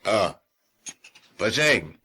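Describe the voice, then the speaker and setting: An actor playing a teasing, kindly old man in a radio drama, with a gravelly, raspy voice. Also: on the low side, big pitch swings, drawn-out words, sharp tone, full character voice. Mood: concerned, coaxing. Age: older. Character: teasing, kindly old male friend with rheumatism. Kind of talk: Filipino radio drama acting.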